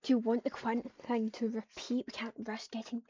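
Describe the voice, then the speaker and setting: Girl roleplaying in a game, kinda high mellow voice, muffled mic with some background noise. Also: level tone, moderate pace. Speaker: player in an online roleplay game. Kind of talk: casual game roleplay, reading typed lines aloud. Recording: dull mic, distant, clear background noise.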